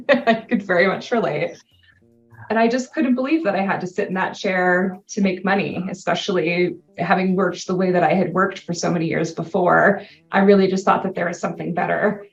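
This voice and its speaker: A woman from Canada, a native English speaker, talks as a podcast guest in a casual interview, telling animated stories and laughing often. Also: on the low side, normal speed, vocal fry, echoey room, lo-fi sound, faint music behind.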